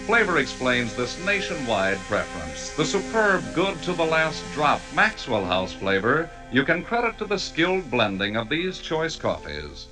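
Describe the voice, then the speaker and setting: A man's voice: fairly high pitch, very animated, normal speed, hardly any pauses, over music, low-quality recording. An American man reading scripted advertising copy in polished, folksy old-time radio announcer style. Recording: old radio broadcast recording.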